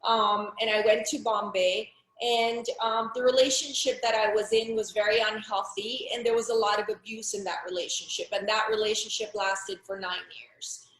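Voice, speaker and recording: A woman's voice: mid-range voice, fairly even intonation, normal speed, few pauses, a bit bright, echoey room, rough recording. A middle-aged woman from Pakistan, speaking frankly and earnestly as an interview guest, often restarting her sentences. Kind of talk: personal testimony in an interview.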